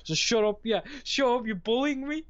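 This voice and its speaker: A man's voice: very high-pitched, animated. A young English man calling in to a casual stream, bantering and teasing about football. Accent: English, not Scouse. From England. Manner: banter-heavy, teasing, fast-talking football fan. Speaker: caller. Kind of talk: casual chat. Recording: muffled call-in audio.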